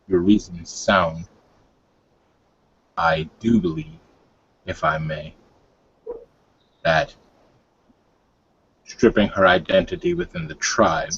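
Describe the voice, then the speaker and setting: A man voicing a respectful, grave young male werewolf with formal, deliberate speech in a tabletop roleplay. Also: low-pitched, fairly bright tone, slight background noise, full character voice. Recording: dull, lo-fi online call.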